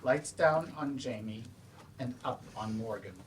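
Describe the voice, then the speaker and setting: A man's voice: medium pitch, unhurried. A man reading stage directions as scripted narration at a staged play reading.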